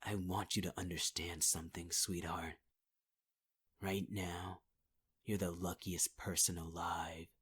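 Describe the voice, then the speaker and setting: A man's voice: sits low, level tone, a little slow, a bit bright, husky. A man performing a possessive male villain in an ASMR audio roleplay, speaking close to the mic in a taunting, half-whispered voice and calling the listener sweetheart. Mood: menacing.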